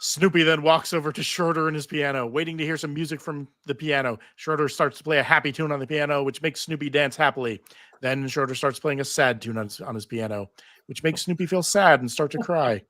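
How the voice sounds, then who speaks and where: Bright-voiced man narrating scenes. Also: fairly high pitch, brisk, dry recording, clean studio sound. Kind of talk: reading stage directions at live table read.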